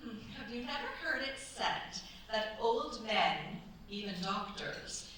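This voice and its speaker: An actress as a cold, suspicious older mother-in-law in a period stage radio play. She speaks pointedly in a bright, sharp voice. The room is very echoey and she is far from the mic. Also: medium pitch, animated. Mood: suspicious, insinuating. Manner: deliberate, pointed, insinuating. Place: cultural centre stage, live performance. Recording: very echoey stage, distant mic, faint noise.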